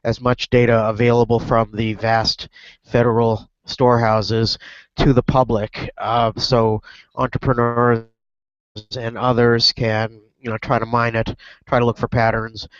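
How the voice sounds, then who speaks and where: A man's voice: medium pitch, little pitch movement, a little slow, clear, resonant tone, dry recording. A man reporting in a casual web broadcast, his voice stuffy from a cold. He rambles with fillers and restarts. The audio is muffled and lo-fi, with a dry sound. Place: airport lounge.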